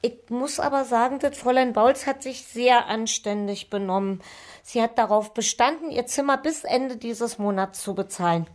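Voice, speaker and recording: A woman's voice: a little high, normal speed, lightly acted. An actress playing a sentimental, gossipy German landlady in a radio drama, effusive and given to sighing. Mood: wounded, confiding.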